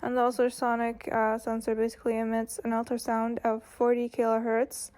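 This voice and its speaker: A woman reads out a technical explanation as a student presenter. Her voice is fairly high and stays very even in pitch. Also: a little fast.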